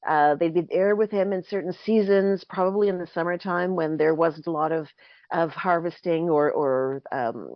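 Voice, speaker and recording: A woman with a fairly low, slightly hoarse voice lays out her points patiently, often circling back to rephrase. The sound is dry and close with no room around it. The recording is a little dull and lo-fi. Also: moderate pace, dry recording. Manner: explains at length, thinking aloud with frequent self-corrections. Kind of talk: casual explanatory chat.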